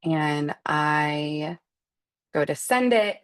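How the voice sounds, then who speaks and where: A woman hosting a live webinar as a product trainer, explaining a software feature step by step in a conversational way and addressing attendees directly. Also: low voice, expressive, extremely slow, clear, resonant tone. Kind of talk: live screen-share walkthrough, instructional.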